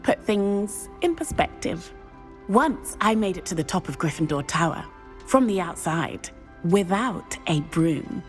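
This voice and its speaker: A woman's voice: lowish voice, animated, measured pace, faint music behind. A woman playing a bold, playful teenage witch in a video game, chatty and exclamatory with a British accent. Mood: cheerful, breezy. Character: bold, breezy, thrill-seeking teenage witch schoolgirl. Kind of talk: scripted video game dialogue.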